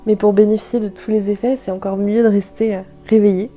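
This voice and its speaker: A woman guiding a yoga nidra meditation in a soft, calm, soothing way, leaving gentle pauses. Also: mid-range voice, faint music behind. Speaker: guided meditation instructor.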